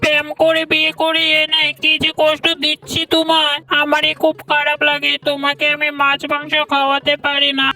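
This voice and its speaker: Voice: high voice, completely flat delivery, normal speed, few pauses, sharp tone, heavily acted. A performer voicing a poor, humble male fox labourer in a cartoon, earnest and plaintive, with a woman's-sounding voice. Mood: sorrowful. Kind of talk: cartoon voice acting. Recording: distant voice with music underneath.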